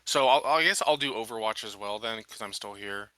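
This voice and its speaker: Bright, forward-sounding man at a game. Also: lowish voice, fairly quick, dry recording. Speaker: tabletop game player. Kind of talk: casual game chat.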